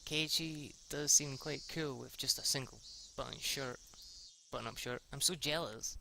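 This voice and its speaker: Man, mid-pitched voice, halting amateur narration, casual sweary asides, noticeable background noise with insect-like hiss. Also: gravelly. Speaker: amateur reader of a visual novel. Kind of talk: reading a visual novel aloud with casual asides.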